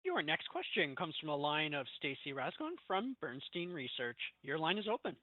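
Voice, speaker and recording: Lively-pitched male operator on a phone line. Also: moderate pace, no room sound. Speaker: conference call operator. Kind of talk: scripted call announcement. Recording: dry, tinny phone-line audio.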